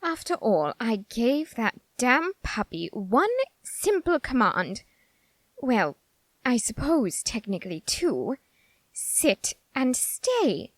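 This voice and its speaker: Flamboyant lovestruck reaper, theatrical, vain, exasperated, slow drawn-out delivery, wildly animated pitch, dry close sound, audio roleplay. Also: female voice, dry recording, heavily acted. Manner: theatrical, dramatic soliloquy with drawn-out words. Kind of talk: scripted character roleplay audio monologue.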